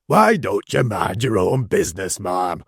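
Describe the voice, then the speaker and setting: A man reading aloud and voicing a blustering, self-important rich man, loud, gruff and indignant. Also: fairly low pitch, sing-song, unhurried, no echo, studio quality, heavily acted. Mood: wrathful.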